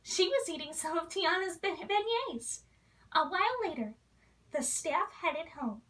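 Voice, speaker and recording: A woman reads a children's story aloud in an expressive storybook style, playing the role of a princess. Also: very high-pitched, measured pace, far from the mic.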